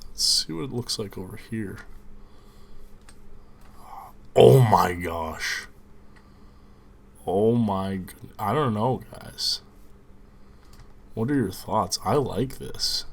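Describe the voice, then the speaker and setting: A man's voice: fairly low pitch, a little hiss or hum. A young man with a slight cold, chatting casually to his viewers as he plays a video game. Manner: relaxed, chatty, thinks aloud, addresses viewers as guys. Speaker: gaming video host.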